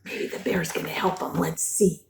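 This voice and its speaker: A woman reading a picture book aloud to young children in an expressive, animated storytelling style, breaking off for simple questions, gasps and exclamations. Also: fairly low pitch, measured pace, gravelly.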